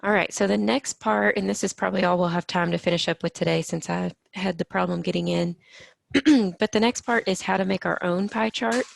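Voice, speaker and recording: A woman teaching a middle-school math lesson, explaining each step patiently and sounding a little hoarse from allergies. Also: on the low side, fairly even intonation, a little fast, no echo.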